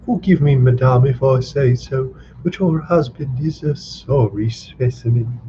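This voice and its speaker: A man's voice: medium pitch, unhurried, dull audio, noisy background, pitched above the performer's own voice, natural voice. A man voicing a bold, gallant young swordsman, speaking in a confident, courtly, declamatory way.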